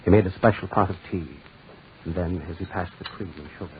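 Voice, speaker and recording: An actor playing a grave, dramatic storyteller narrating an eerie 1940s radio drama. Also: male voice, low-pitched, fairly even intonation, slight background noise, natural voice. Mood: ominous. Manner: dramatic, deliberate. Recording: muffled, tinny 1940s radio recording.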